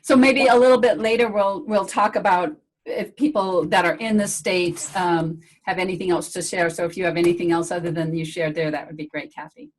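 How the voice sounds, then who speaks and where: A woman's voice: lowish voice, fairly even intonation, a little fast, poor audio. A woman hosting a casual video call Q&A as a medical director, chatty and friendly with rambling restarts.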